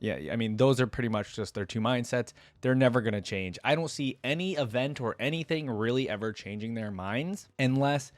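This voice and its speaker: A man's voice: mid-range voice, fairly quick, few pauses, no room sound. A man giving casual, slangy fan commentary as the host of a reaction video. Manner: informal, slangy fan talk.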